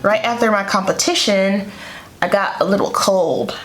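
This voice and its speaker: A woman's voice: mid-range voice, normal speed, fairly bright tone. Chatty congested woman in an echoey room. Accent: Southern US. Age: 30s. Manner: chatty, upbeat, repeats words for emphasis. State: congested from a head cold. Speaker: beauty vlogger. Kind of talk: casual makeup tutorial chat. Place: bedroom vanity room, indoors. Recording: echoey room, faint music.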